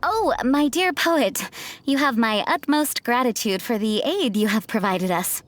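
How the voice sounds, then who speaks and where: A woman's voice: fairly high pitch, expressive, unhurried. A woman voicing an earnest, courteous young female knight commander with formal, composed speech in a video game. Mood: grateful. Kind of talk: video game cutscene acting.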